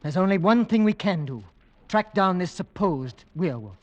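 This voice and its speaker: A man acting a brisk, self-assured gentleman hunter in an old radio drama, on a vintage recording. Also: high-pitched, expressive, muffled recording. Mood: urgent, decisive. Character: brisk, decisive gentleman hunter, coolly commanding husband.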